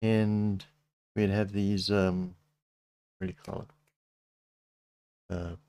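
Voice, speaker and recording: Slow-talking Texan man, low smooth voice. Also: flat delivery, warm tone, no room sound. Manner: slow, halting, many restarts. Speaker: channel host. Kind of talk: casual livestream chat.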